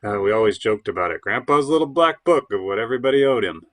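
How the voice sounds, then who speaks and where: A man's voice: lowish voice, expressive, fast, fairly bright tone. A man playing a hesitant, stammering, defensive would-be bohemian in his late thirties in an audio play. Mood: proud, defensive.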